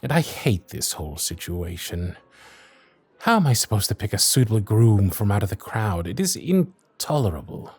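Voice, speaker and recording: A man performs a young Victorian gentleman earl for an audio roleplay, proper and principled. His formal, ornate phrasing swings widely in pitch at a medium register. The voice is recorded very dry, with soft music underneath. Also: breathy edge, no echo, full character voice. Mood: resentful. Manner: ornate, formal period diction, exasperated and courteous. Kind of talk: scripted ASMR roleplay, one-sided dialogue.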